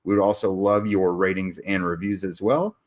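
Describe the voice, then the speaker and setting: A man's voice: low-pitched, level tone, normal speed. A man hosting a sports podcast, recapping a game in a casual way with lots of fillers and restarts while losing his voice. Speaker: podcast host.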